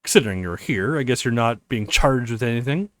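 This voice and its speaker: A man voicing a skeptical, formal female professor in a visual novel, measured and coolly authoritative. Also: medium pitch, expressive, fairly bright tone, no room sound, studio quality, voice pushed down, natural voice. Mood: cool, weighing.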